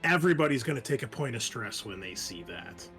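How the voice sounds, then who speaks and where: A man's voice: medium pitch, animated, a little fast, over music. A man working as game master, narrating a horror roleplaying game, moving between dramatic description and casual rules talk.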